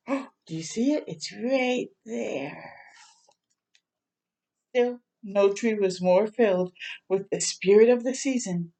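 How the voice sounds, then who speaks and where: A woman reading a picture book aloud to young children in a gentle, expressive storytime manner. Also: fairly high pitch. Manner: gentle, expressive, prompting listeners with questions.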